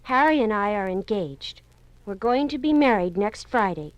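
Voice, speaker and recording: A woman's voice: medium pitch, animated, moderate pace, dry recording, lo-fi sound, close to an everyday voice. An actress in a 1950s radio drama playing a young woman who reads out her breakup letter, hesitant and apologetic.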